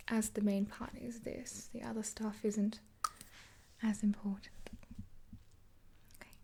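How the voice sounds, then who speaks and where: A woman in her twenties doing an ASMR roleplay in a gentle, unhurried, breathy whisper, reassuring and close to the mic. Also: on the high side. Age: 20s. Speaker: ASMR performer playing a makeup helper. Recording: hissy close-up with clicks.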